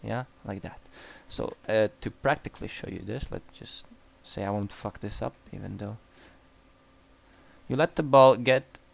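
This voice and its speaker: Young Croatian-accented man, lowish voice, blunt and profane game coach. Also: a little slow, vocal fry. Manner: blunt and profane, frequent restarts. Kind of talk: replay analysis commentary.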